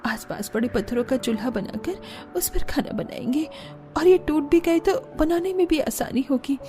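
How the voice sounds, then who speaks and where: A woman's voice: high-pitched, moderate pace, music in the background, natural voice. A woman voicing an earnest, aggrieved young village wife in a cartoon. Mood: practical. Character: hard-pressed, aggrieved young village wife and daughter-in-law.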